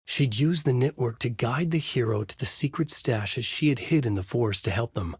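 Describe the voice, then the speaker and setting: An actor playing a brooding writer who narrates his dark thriller in a grave, deliberate, noir style, as in a video game. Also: medium pitch, breathy edge, no echo, studio quality. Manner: grave, deliberate, moody storytelling.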